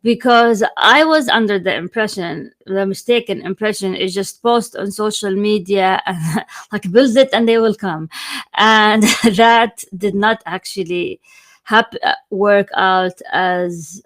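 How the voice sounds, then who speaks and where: Woman hosting a casual interview, medium pitch. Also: slow pace, fairly bright tone. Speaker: podcast host and journalist.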